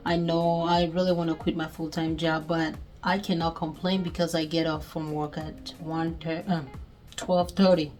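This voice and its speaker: A woman's voice: low-pitched, little pitch movement, normal speed, a little mellow, faint music behind. Nigerian woman chatting through a sewing tutorial. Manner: chatty, thinking aloud while working. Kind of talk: casual step-by-step crafting tutorial.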